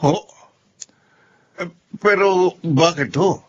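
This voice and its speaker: A man's voice: high-pitched, very slow, husky, highs cut off, full character voice. A man acting an earnest, polite, downhearted engineer husband in a Filipino radio drama, with a Filipino accent. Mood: surprised, polite.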